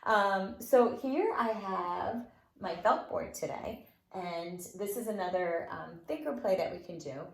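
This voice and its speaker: A woman's voice: medium pitch, lively intonation, a little slow, gravelly. A woman nursery school teacher leading children's circle time with rhymes and finger plays in a playful, encouraging, sing-song way. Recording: roomy, echoing indoor sound.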